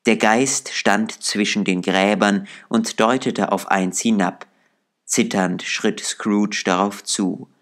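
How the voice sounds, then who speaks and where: A man reading an audiobook aloud in calm, measured storytelling narration. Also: on the low side. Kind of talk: scripted narration.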